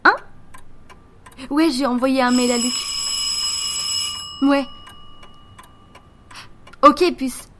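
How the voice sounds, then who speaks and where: A woman's voice: high voice, steady tone, drawn-out words, pauses often, background noise. A woman playing a sensitive, insecure teenage girl in an audio drama. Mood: excited. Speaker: actor in an amateur audio fiction. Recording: music behind the voice.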